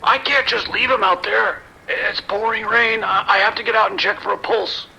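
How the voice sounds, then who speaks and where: A man performs a terrified civilian caller in a horror audio drama. His high, creaky voice is tense and halting, stammering anxiously over music and rain. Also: fairly even intonation, bright, forceful tone, heavily acted, low-quality recording. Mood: shaken, guilty. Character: frightened, shaken male civilian emergency caller.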